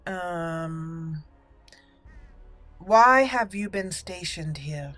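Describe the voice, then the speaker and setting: A woman's voice: on the low side, animated, very slow, fairly bright tone, faint music behind. A woman hosting a let's play, playfully moving between in-character lines and casual commentary. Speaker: gaming channel host. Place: indoors, game audio behind.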